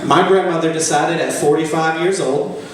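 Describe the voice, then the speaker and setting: A man's voice: a little high, normal speed. A man, telling profane, boastful stand-up stories in a mock-epic way. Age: 20s. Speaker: stand-up comedian. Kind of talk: stand-up storytelling. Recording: echoey room from far off, faint noise.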